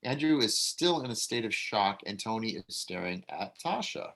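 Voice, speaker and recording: A man's voice: on the low side, normal speed, vocal fry. A man reading the stage directions and giving notes to the actors at a casual table read. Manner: relaxed, casual, with fillers and self-corrections.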